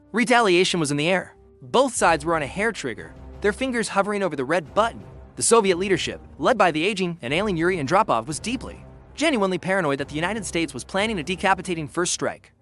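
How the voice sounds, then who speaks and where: A man's voice: sits high, a bit bright. A man reading scripted history narration in a grave, suspenseful, documentary storytelling manner.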